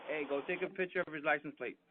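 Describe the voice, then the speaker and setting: A man's voice: a little high, fast. A middle-aged man chatting casually as a father, with an insistent, questioning way of talking, over a doorbell intercom. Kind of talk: casual conversation. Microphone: doorbell intercom call. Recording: phone-like doorbell intercom audio, clear background noise.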